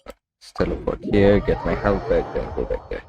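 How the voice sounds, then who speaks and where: A man's voice: sits low, lively intonation, normal speed, gravelly, dull audio, noisy background. A man streaming a video game, giving casual live commentary as he plays. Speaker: gaming streamer.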